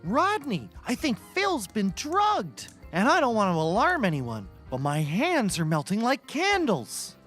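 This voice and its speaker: A man's voice: high voice, wildly swooping pitch, unhurried, few pauses, fairly bright tone, faint music behind, heavily acted. A man playing a meek, earnest, put-upon friend in a comedy, with a pleading way of talking. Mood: worried.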